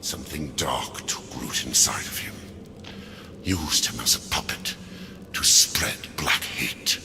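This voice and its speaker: An actor playing a tormented, unstable angel scribe in a video game, speaking in a formal, archaic, theatrical way. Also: male voice, very deep voice, slow, vocal fry, background noise, exaggerated voice. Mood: haunted, hushed.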